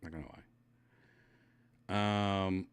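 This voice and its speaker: Middle-aged male livestream host from Wisconsin with a low voice and a slight lisp, loose mumbly delivery, dry close sound. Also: fairly even intonation, takes it slowly, smooth, dry recording. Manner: loose, mumbling, trails off into quiet asides. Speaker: livestream host reading chat. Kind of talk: casual rambling chat.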